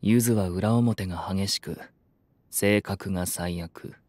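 An actor playing a stern, haughty young male idol student with a formal, measured delivery in a Japanese audio drama. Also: low-pitched, a little mellow, dry recording. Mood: dry, cool.

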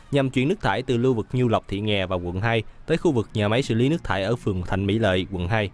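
A man reading scripted news voice-over in a brisk, even newsreader delivery. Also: medium pitch, a little hiss or hum.

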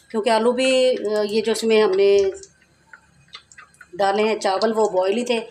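A woman's voice: medium pitch, darkish tone, a little hiss or hum. A woman hosting a home cooking channel, talking her viewers through a recipe in a chatty, repetitive way while she cooks in her kitchen. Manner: chatty, repeats herself, addresses viewers directly. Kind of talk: home cooking tutorial, casual explaining. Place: home kitchen, over a stovetop.

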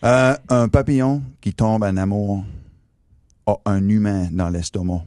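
A man's voice: lowish voice, steady tone, a little slow, dark tone, no room sound. A man with a Quebec French accent hosting a radio morning show, joking and laughing with co-hosts in the studio. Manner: playful, jokey, laughs often. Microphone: studio boom mic.

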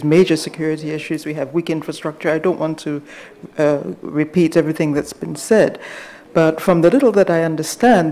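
A soft-voiced middle-aged woman from Ghana speaking at a conference panel in a measured, hesitant way, with many fillers, into a table microphone in a large hall. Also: low-pitched. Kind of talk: panel discussion remarks.

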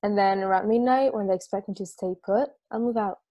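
A woman's voice: mid-range voice, fairly quick, warm tone, close to an everyday voice. A young woman playing a casual, guarded traveler chatting at a bar, in an online table read of a stage play. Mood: wary, relaxed. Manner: casual, plainspoken. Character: plainspoken, guarded female traveler, easygoing bar customer. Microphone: video call microphone.

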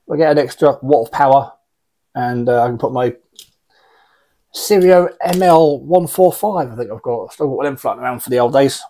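A man's voice: medium pitch, normal speed, dark tone. British man, casual and wryly blunt. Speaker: radio hobbyist reviewing gear. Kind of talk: casual unscripted product review. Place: small indoor radio room.

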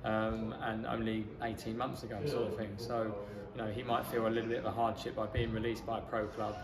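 A man, a football manager giving a measured, matter-of-fact post-match interview. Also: lowish voice, level tone. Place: outdoors in a small football ground stand. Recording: echoey stand with crowd noise.

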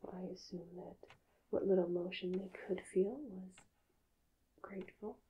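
A woman speaking in a hushed, halting, calm half-whisper, soft-spoken in an ASMR roleplay as a doctor, close to the mic. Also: lowish voice, lo-fi sound, slight background noise. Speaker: ASMR performer playing a hypnotist doctor.

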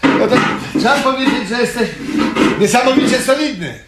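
A man's voice: extremely high pitch, animated, normal speed, reverberant, heavy background noise. An actor in a Polish radio comedy playing a harried, chatty male shop owner, eager, wheedling and fussy. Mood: harried, chummy.

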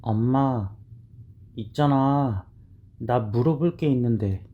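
A woman's voice: extremely low pitch, takes it slowly, phone-quality sound, soft background music, pitched below the performer's own voice. A woman voicing a withdrawn middle-school boy in an audio drama, hesitant and often trailing off. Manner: hesitant, trailing off mid-sentence.

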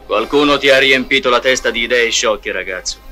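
An actor playing a stern, commanding dark lord and father in an audio storybook. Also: mid-range voice, fairly quick, soft background music, lightly acted. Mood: stern menace.

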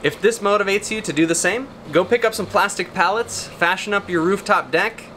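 A man's voice: high-pitched, fairly quick, sharp tone, slight background noise. A man in his thirties giving an upbeat, friendly how-to talk outdoors beside his van, with laid-back slang. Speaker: vlogger.

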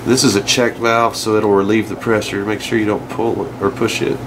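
A man giving a casual, plain-spoken, halting hands-on demonstration as a DIY car repair host, working over an engine bay. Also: on the low side, level tone. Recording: clear engine-bay noise and clatter.

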